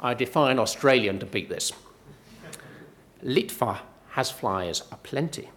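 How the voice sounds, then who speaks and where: A middle-aged male professor giving a prepared, measured talk with dry, wry asides. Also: medium pitch, expressive, a bit bright. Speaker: professor giving a book pitch.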